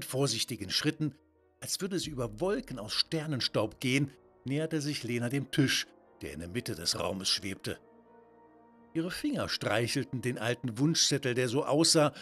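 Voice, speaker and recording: A man's voice: mid-range voice, fairly bright tone, husky. A man narrating a scripted children's story in a dramatic, wonder-filled way.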